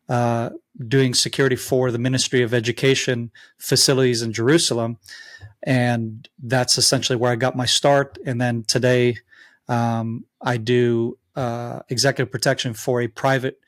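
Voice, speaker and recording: Halting American-accented man, dry sound. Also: mid-range voice, dry recording. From Israel. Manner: halting, many fillers and restarts. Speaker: guest. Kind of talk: interview answers.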